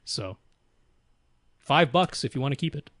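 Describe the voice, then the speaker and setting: A man's voice: mid-range voice, animated, a little slow, a bit bright, no echo, clean studio sound. A man co-hosting a podcast, giving casual tech advice in a candid, hesitant, self-deprecating way. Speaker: podcast co-host.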